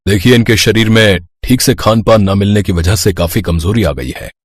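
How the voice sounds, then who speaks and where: A man acting measured adult male characters in a cartoon family story. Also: fairly low pitch, slightly rough. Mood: serious. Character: mild middle-aged father-in-law and serious doctor. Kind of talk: acted cartoon story dialogue.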